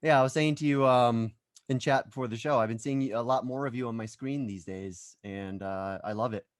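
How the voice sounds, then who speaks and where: A man's voice: mid-range voice, moderate pace. A man hosting a conversation show on a video call, upbeat and enthusiastic, with plenty of ums. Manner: upbeat, enthusiastic, with frequent fillers. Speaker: show host. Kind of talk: casual chat.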